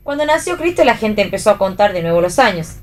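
A woman's voice: mid-range voice, very animated, quick pace, natural voice. A woman with an Argentine accent playing a breezy, teasing friend in a radio theatre reading. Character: Romy, breezy teasing female friend giving advice.

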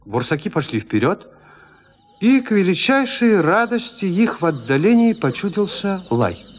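A man narrating a classic literary tale for an audio drama in a measured, expressive storytelling manner. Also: sits high, soft background music.

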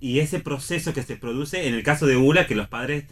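A panelist with an Argentine accent discussing theater casually on radio, reflective and explanatory, pausing to reformulate. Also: mid-range voice.

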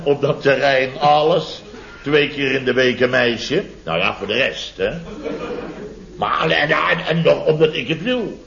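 A man's voice: on the high side, vocal fry, noisy background. An actor playing a boastful, indignant contractor in his fifties in a radio comedy, repeating himself and exclaiming self-importantly. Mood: boastful, blustering. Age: 50s. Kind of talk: 1970s radio comedy acting. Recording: dull vintage radio recording.

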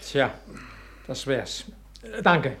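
An actor playing a calm, reassuring police sergeant in a German radio play, matter-of-fact with hesitant phrasing. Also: male voice, mid-range voice, drawn-out words, a little hiss or hum, heavily acted. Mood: calm, official.

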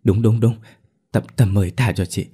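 A man's voice: fairly even intonation, a little slow, breathy edge. A man voicing a terrified, scheming woman who sobs and pleads for her life in a spoken horror story. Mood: accusing. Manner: sobbing, shrill pleading.

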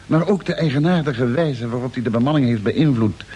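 A man's voice: mid-range voice, fairly quick, warm tone, natural voice. An actor playing a matter-of-fact spaceship crewman in an old-style radio play. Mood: assured. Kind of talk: Dutch radio play acting, mid-century. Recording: noisy old radio drama recording.

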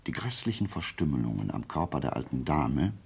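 An actor playing a brilliant, self-assured detective in a German radio play, deliberate and pedantic, musing with emphatic pauses. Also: male voice, a bass voice, very animated, creaky, slightly rough, exaggerated voice. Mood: analytical, assured.

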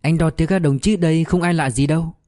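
A composed, tactful woman from an audiobook novel, voiced by a male narrator, matter-of-fact in manner. The tone is mellow and the recording dry. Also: fairly high pitch, fast talker, no room sound, pitched above the performer's own voice, lightly acted.

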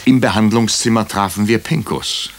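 An actor playing a wry hospital doctor who narrates a crime case in a radio drama, dry and ironic. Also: male voice, fairly low pitch, moderate pace, lightly acted. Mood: measured narration.

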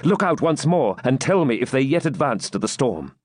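A man acts out a wounded storybook knight, eager for battle and full of pride. The delivery is raised, declamatory and insistent, with lively swings of pitch and old chivalric diction. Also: moderate pace, no room sound, clean studio sound. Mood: restless, impatient. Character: wounded male medieval knight, proud, impatient, eager for battle.

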